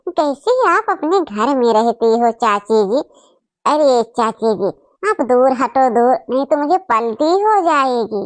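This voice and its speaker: A woman plays a snobbish young wife in a cartoon, proud of her wealth. The voice is very high and dry, with a haughty, disdainful manner. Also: lively intonation, slow, dry recording. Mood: haughty disgust. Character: snobbish young married daughter, boastful of rich in-laws.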